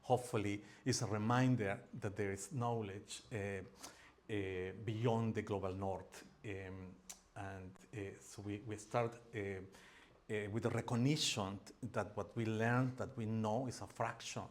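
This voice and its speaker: A man with a Peruvian accent presents at an academic seminar, his voice sitting a little low. He speaks in a halting way, filling pauses often and restarting his phrases as he explains. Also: steady tone, a little hiss or hum. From Peru. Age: middle-aged. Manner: hesitant, many fillers and restarts.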